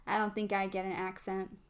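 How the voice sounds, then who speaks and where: A woman's voice: medium pitch, monotone, moderate pace. A woman with an American Midwest accent, hosting her channel and chatting at home while she builds, talking easily and thinking aloud. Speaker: channel host. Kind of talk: casual chat while building. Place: home, indoors. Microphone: table microphone.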